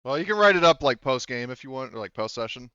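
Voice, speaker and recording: A man's voice: medium pitch, lively intonation, fast talker, pushed and bright, clean tone, no echo, muffled recording. A man casually running a tabletop roleplaying game as game master, chatting informally and swearing freely. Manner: informal, profane.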